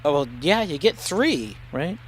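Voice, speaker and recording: A man's voice: on the high side, sing-song, unhurried, slight background noise, rough recording. A man making sarcastic, wisecracking comments over a film, with mock reactions. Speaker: film riffer, reviewer.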